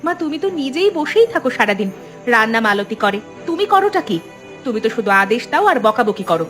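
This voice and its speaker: A woman's voice: on the high side, sing-song, fast talker, fairly bright tone, soft background music. A woman voicing a determined, fed-up village daughter-in-law in a cartoon, speaking in an earnest, resolute way. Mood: indignant protest. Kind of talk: cartoon voice acting.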